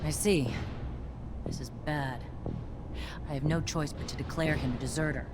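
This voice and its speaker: A woman's voice: low voice, steady tone, moderate pace, over loud music, natural voice. A woman playing a tough, pragmatic army captain in a video game, matter-of-fact and authoritative. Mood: concerned, stern.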